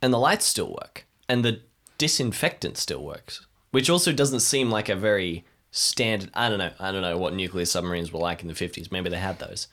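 Animated, lowish-voiced male role-player. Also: fairly quick. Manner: joking, conversational. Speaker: player in an improvised audio drama. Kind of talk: casual improvised role-play chat.

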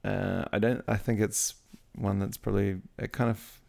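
A man in his forties co-hosting a casual film chat, speaking haltingly with many pauses and fillers. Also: on the low side, level tone, gravelly, a little mellow. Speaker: podcast co-host. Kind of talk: casual chat about films.

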